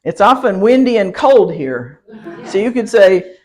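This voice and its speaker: A woman teaching a citizenship class, talking slowly and repeating each word for her learners. She is patient and laughs lightly between points. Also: medium pitch, very animated, a little mellow. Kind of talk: classroom pronunciation lesson.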